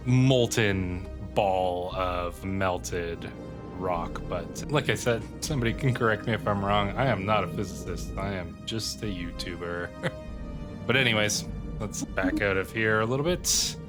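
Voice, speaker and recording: A man hosts a gaming video in a fairly low voice, with clear music playing underneath. He is sick with a cold and talks casually, explaining things in an unhurried, musing way as he narrates his roleplay. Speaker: YouTube gaming host. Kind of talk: casual narrated strategy game roleplay commentary.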